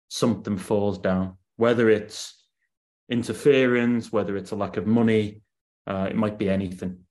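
A man's voice: on the low side, darkish tone. A man, a football writer on a podcast, talking through his analysis at length in a casual chat. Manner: analytical, weighs points at length.

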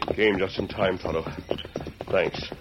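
An actor playing a steadfast, resolute cowboy hero in an old-time radio western. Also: male voice, low voice, steady tone, unhurried. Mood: urgent. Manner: firm, resolute, commanding. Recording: noisy muffled vintage radio recording.

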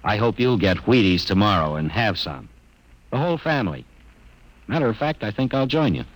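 A man's voice: on the low side, very animated, fairly quick, rough recording. A man giving a folksy, scripted endorsement in an old radio commercial.

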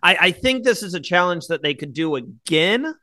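Bright-voiced chatty man. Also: high voice, very animated, moderate pace, no room sound, clean studio sound. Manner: chatty, opinionated. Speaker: podcast co-host. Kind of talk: casual chat.

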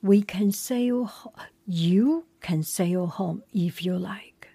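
A woman's voice: medium pitch, slow pace, vocal fry, dark tone, slightly rough, full character voice. A woman whispering as an outraged proud warrior. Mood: defiant. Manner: whispered defiant challenge.

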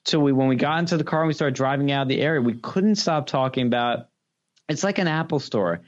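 Young adult male podcast guest, excitable raconteur, foul-mouthed and effusive, slightly muffled low-fidelity recording. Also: fast talker. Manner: gushing, profane, repeats superlatives. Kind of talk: casual enthusiastic anecdote-telling.

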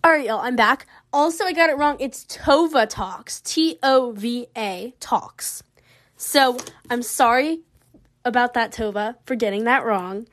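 A woman's voice: on the high side, unhurried. A young woman with a Southern American accent hosting a casual solo podcast, rambling and giggling while she draws. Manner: rambling, giggly, animated, lots of fillers. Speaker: podcast host.

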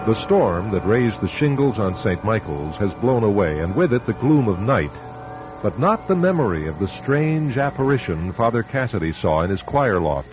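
A man narrating a scripted suspense radio drama as announcer, with a measured, dramatic storytelling delivery. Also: lowish voice, very animated, over music. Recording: dull old radio broadcast.

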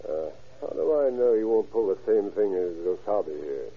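Low-voiced, blunt undercover agent. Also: male voice, lively intonation, normal speed. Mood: wary, impatient. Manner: blunt, businesslike. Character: brisk, wary undercover agent posing as drug buyer. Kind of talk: 1940s radio acting. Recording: tinny, hissy old radio recording.

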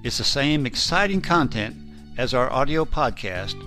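A man's voice: medium pitch, very animated, moderate pace, bright, forceful tone. Man, mid-range podcast announcer. Scripted promotional read over guitar music. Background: plucked guitar music.

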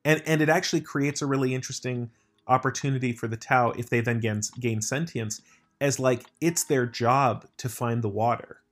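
A man's voice: mid-range voice, fairly even intonation, moderate pace. An American man hosting a casual chat, rambling with lots of restarts and filler words. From United States.

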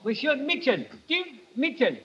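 An actor playing a deferential messenger boy with a foreign accent and halting broken English in an old radio drama. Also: very high-pitched, sing-song, pushed and bright, full character voice. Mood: deferential, urgent. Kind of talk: 1950s radio drama acting. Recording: dull old radio recording.

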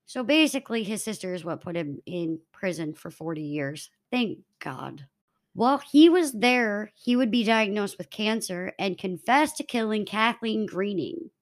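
Young woman podcast co-host, mid-pitch, narrating a true-crime case from notes, a few restarts. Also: animated, moderate pace.

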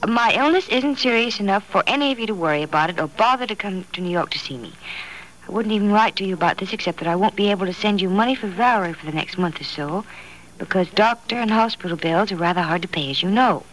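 An actress playing an affectionate, soothing young bride-to-be and daughter in a 1940s-style radio soap opera. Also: female voice, medium pitch, animated, fast talker, fairly bright tone, natural voice. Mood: concerned, soothing. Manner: tender, earnest, soothing. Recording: noisy old radio drama recording.

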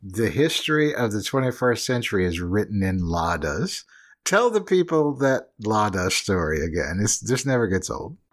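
A sardonic man co-hosts a podcast, his low voice swinging widely in pitch as he talks. He tosses off dry asides and hedges with wry little qualifiers. Overall it sounds like a loose, conversational chat. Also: very animated, normal speed. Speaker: podcast co-host. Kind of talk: casual scripted podcast chat.

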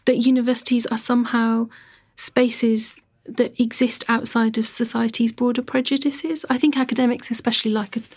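A woman historian giving measured, emphatic answers in an interview. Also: on the high side, level tone, a little mellow. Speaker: historian, guest.

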